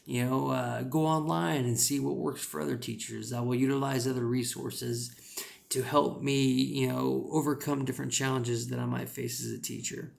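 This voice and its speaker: A man's voice: medium pitch, little pitch movement, moderate pace, gravelly. A man with a rural American accent giving casual, rambling advice as an English teacher, with lots of "you know" fillers.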